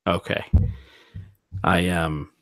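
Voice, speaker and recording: A man playing a roleplaying game, improvising his story in a halting, deliberate way as he thinks aloud. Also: low voice, little pitch movement, fairly bright tone, dry recording.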